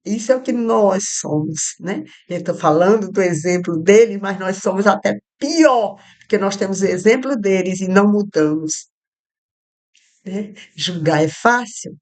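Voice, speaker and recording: A woman's voice: mid-range voice, animated, unhurried. Chatty woman from northeastern Brazil. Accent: Northeastern Brazilian Portuguese. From Rio Grande do Norte, Brazil. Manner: chatty, digressive, many fillers and restarts. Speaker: Bible study teacher. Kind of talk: informal Bible study talk. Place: home, not a studio, with street traffic outside. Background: soft background music.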